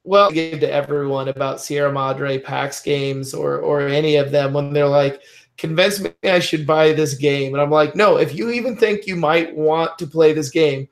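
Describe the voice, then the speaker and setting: A man's voice: fairly high pitch, fairly even intonation, moderate pace, gravelly. A man in casual panel chat about board games, talking in an informal, conversational way. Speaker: podcast panelist.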